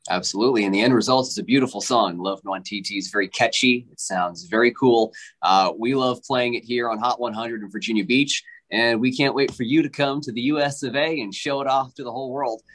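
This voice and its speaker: A man's voice: medium pitch, a little fast, a bit bright. A young male radio host interviewing a guest over a video call from an indoor room. His voice sits in a middle range, and he asks his questions in an upbeat, polished presenter delivery. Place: indoor room with a station backdrop.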